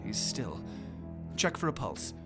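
An actor playing a cold, detached mad scientist who speaks calmly and dismissively. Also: male voice, mid-range voice, takes it slowly, loud music behind the voice. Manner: calm, clipped, condescending. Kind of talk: radio drama acting.

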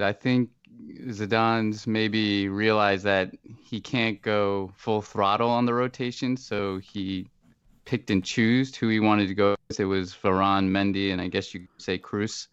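Low, clear-voiced man on a muffled mic. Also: fairly bright tone, no echo. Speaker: podcast co-host and analyst. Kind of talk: casual football chat.

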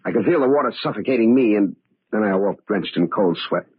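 An actor playing a cold, scheming murderer in an old-time radio drama. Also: male voice, on the low side, lively intonation, fast talker, breathy edge, dry recording. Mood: feigned regret. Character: cold, scheming male murderer, gloating then feigning grief. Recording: tinny, band-limited old radio recording.

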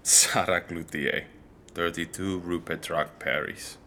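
A man acting a puzzled, hesitant character in an audio drama, speaking in a halting, questioning, naturalistic way. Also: low-pitched, steady tone, bright, forceful tone, a little hiss or hum, exaggerated voice. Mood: bewildered. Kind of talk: naturalistic fiction podcast acting.

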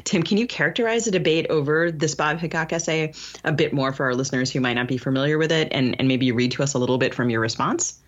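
Podcast host talking books, mid pitch, kinda halting and thoughtful, bit muffled audio. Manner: thoughtful, halting, searching for words. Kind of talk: literary discussion with reading from an essay. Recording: dull, lo-fi sound.

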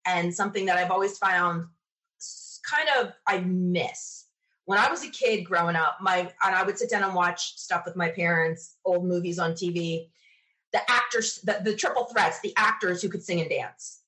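A woman's voice: fairly low pitch, normal speed, sharp tone, rough recording. Chatty, opinionated middle-aged woman. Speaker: podcast guest being interviewed. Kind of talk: casual conversational storytelling.

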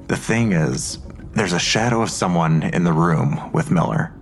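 A man's voice: low-pitched, unhurried. An actor playing a matter-of-fact male investigator methodically laying out a case in an audio drama.

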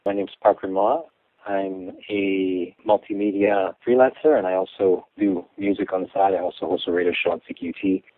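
A young Canadian man answering interview questions in a measured, explanatory way, with some restarts. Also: sits low, fairly even intonation, no room sound. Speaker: interview guest. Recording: tinny phone line.

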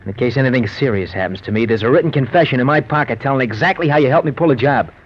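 A man's voice: medium pitch, animated, very fast, slightly rough. An actor playing a controlling, suspicious gangster with slangy tough-guy delivery in a 1940s radio drama. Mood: threatening. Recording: muffled, noisy old radio recording.

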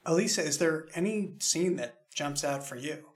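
A man's voice: on the high side, lively intonation, normal speed. A man speaking as an audio drama creator in a roundtable interview, explaining things in a reflective, discursive way.

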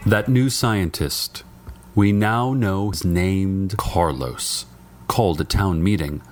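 An actor playing a small-town radio host in an audio drama, delivering strange local news in a measured, deadpan, lovestruck way. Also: male voice, sits low. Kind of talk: scripted radio-show monologue in audio fiction.

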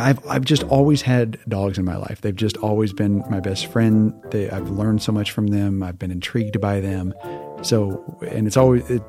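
A man from Hawaii, a podcast guest telling relaxed, casual stories and laughing easily. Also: fairly low pitch. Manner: relaxed, laughs often, restarts words. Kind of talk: casual interview storytelling.

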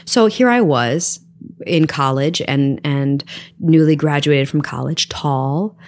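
A middle-aged woman with a low speaking voice, a theater actress telling stories as an interview guest, animated and quick to laugh. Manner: animated storyteller, laughs often, many fillers. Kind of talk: conversational interview storytelling.

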